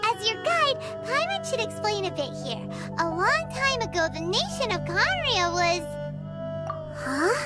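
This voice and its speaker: A woman voicing a small, childlike fairy guide in a video game, squeaky, perky, chatty and curious, with exaggerated delivery. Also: very animated, measured pace, full character voice. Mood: explaining. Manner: perky, exaggerated, refers to herself in third person. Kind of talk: animated video game character acting. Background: prominent background music.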